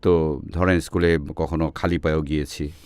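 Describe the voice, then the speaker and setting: Low-voiced Bangladeshi man telling personal stories. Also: steady tone, moderate pace, a little mellow, smooth, dry recording. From Netrokona, Bangladesh. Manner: code-switches often between Bengali and English phrases. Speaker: interview guest. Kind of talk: personal storytelling in an interview.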